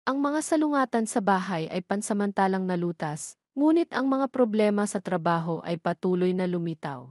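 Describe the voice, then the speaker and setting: Female narrator, mid-pitched, very dry recording, for scripted romance storytelling. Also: animated, normal speed, a little mellow, no room sound.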